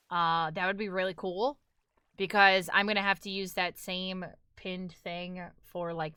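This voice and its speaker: A woman's voice: lowish voice, sharp tone, no echo. A young woman with a New York accent, chatting casually with her live-stream audience while gaming, talkative and laughing often.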